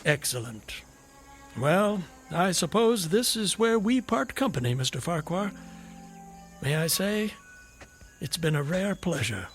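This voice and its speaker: Polite enigmatic male traveller, radio drama, fairly high pitch, very varied intonation, formal, inquisitive. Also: big pitch swings, measured pace, pauses often, soft background music. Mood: courteous, knowing. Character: courteous, inquisitive, enigmatic gentleman traveller companion.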